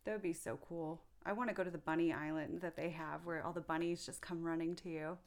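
A young woman hosts a podcast and asks friendly, curious questions in an easy, casual way. Her voice sits a touch low for a woman. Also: steady tone, fast talker. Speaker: podcast host. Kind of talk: casual interview.

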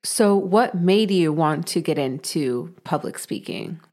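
Interviewer, woman; deep voice, unhurried, measured delivery. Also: animated, darkish tone. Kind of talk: interview questions.

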